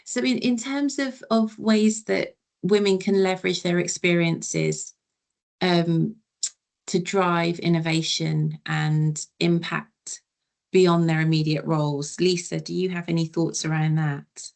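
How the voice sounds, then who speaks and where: A woman's voice: fairly low pitch, fairly even intonation, slow, creaky, a little mellow. A woman moderating a webinar panel conversationally, affirming others' points and thinking aloud as she puts questions.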